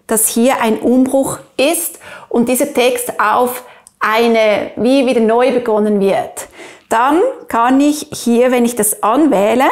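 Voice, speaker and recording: Swiss german accent lady, 40s, doing a step by step app tutorial, voice a bit high, clear and instructional. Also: a little mellow. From Switzerland. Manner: clear, instructional, addresses the viewer directly.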